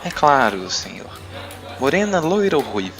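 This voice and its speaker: A man's voice: medium pitch, sing-song, normal speed, vocal fry, crowd noise, pitched above the performer's own voice. Man doing a sultry, coaxing barmaid. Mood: coy. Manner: sensual, coaxing. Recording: loud music bed behind.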